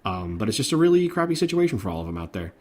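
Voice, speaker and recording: A man's voice: lowish voice, big pitch swings, rapid-fire, darkish tone. A man hosting a podcast, recounting news in an informal, conversational way. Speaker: podcast host. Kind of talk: casual podcast chat about game industry news.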